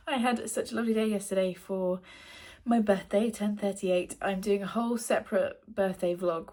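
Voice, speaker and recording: A woman's voice: mid-range voice, a little mellow. A woman in her thirties, chatting casually in a home book vlog, a little breathless and wheezy from a cough. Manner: chatty, rambling, with frequent ums. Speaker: book vlogger.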